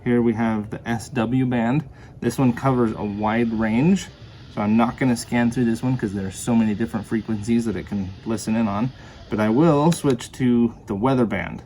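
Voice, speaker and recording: Guy casually walking thru a product demo, plain explainy talk, medium pitch, bit of noise behind him. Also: moderate pace, dark tone, rough recording. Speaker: product reviewer. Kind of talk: casual hands-on product demonstration. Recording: clear background noise.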